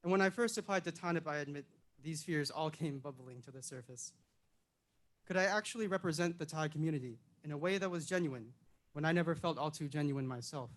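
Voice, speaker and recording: Young man reading an earnest reflection. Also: fairly high pitch. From Illinois, US. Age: young adult. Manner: earnest, measured, reflective delivery. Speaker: program intern. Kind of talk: reading a prepared reflective speech.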